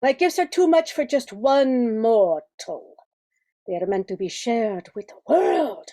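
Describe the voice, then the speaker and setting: A woman's voice: a little high, expressive, a little slow, dark tone. A woman playing a regal, proud captive goddess in an amateur radio play, imperious and formal. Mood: haughty, defiant.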